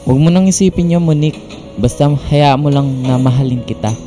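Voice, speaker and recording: A man acting a tender, teasing young suitor in a Filipino radio drama, gentle and coaxing. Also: a little high, brisk, over music, close to an everyday voice. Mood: earnest, devoted.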